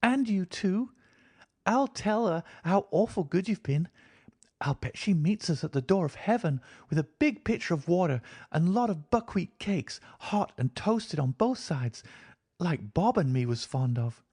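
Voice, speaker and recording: A man reading an audiobook, voicing an innocent little frontier girl in a high, childlike rustic dialect. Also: expressive, a little slow, a little mellow, husky, no room sound, studio quality, heavily acted. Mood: eager. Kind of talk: audiobook character voice.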